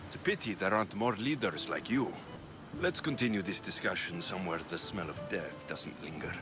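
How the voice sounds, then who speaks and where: Brooding young greek fighter in a game, kinda deep, intense short lines, music under it. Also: male voice, expressive, a little fast. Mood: subdued warmth. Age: young adult. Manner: terse, intense, solemn. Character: brooding young Greek mercenary son, determined avenger. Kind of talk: dramatic game cutscene acting. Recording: music behind the voice.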